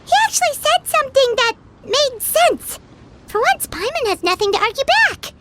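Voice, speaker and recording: Game companion: tiny, cheeky, excitable childlike sprite, extremely high and squeaky, loud noise behind. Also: female voice, normal speed. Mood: puzzled. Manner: refers to self in third person, exclamatory. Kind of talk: animated video game voice acting.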